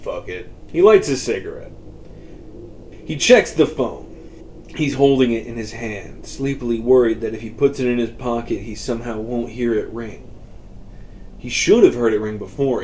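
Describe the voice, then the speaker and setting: A man's voice: medium pitch, a little mellow, slight background noise. A man reading a scripted story aloud in an informal, profane storytelling manner. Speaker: author reading own story. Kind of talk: scripted narration, audiobook-style fiction reading.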